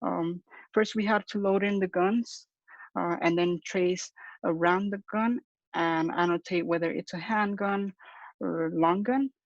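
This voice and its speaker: A man's voice: high voice, steady tone, no echo. Muffled lo-fi recording, dry room, young man, hesitant delivery, explaining a student project. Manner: hesitant, halting. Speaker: student presenter. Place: video call. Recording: dull lo-fi call audio.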